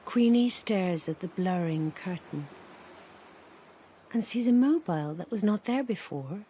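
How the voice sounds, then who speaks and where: A woman narrating a radio drama in a quiet, lyrical, measured way. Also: lowish voice, lively intonation, slight background noise.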